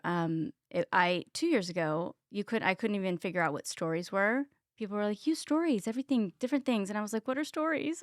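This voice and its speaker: Texan lady in her 30s chatting as a guest, smooth clear voice, dry sound, lots of ums. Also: medium pitch, no room sound. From Texas. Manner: chatty, many ums, laughs at herself. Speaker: guest, health coach. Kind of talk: casual interview chat.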